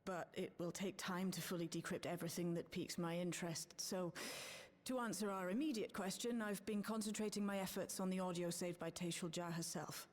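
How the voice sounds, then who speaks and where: A woman's voice: fairly low pitch, fairly even intonation, close to an everyday voice. Actress as composed, studious female researcher. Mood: composed, curious. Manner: measured, articulate, formal. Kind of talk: scripted video game voice acting.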